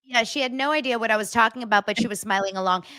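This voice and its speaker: A middle-aged woman hosting a casual pop culture podcast, chatty, with quick laughing asides. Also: a little high, sharp tone. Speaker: podcast host.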